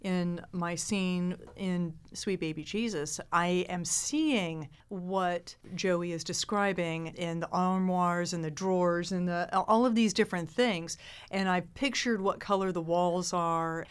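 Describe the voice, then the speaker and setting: A middle-aged woman talks in a casual panel chat. Her voice sits a little low and is smooth and clean, and she explains things with emphasis, like a seasoned performer telling anecdotes. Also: steady tone, takes it slowly. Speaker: improv performer and podcast actor.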